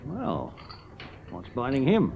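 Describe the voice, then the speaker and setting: A hearty ace pilot. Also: male voice, medium pitch, wildly swooping pitch, slow, gravelly, narrow, tinny audio, slight background noise, exaggerated voice. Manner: breezy, hearty, full of slang. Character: cheerful, brash Australian star aviator. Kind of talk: 1970s radio drama acting.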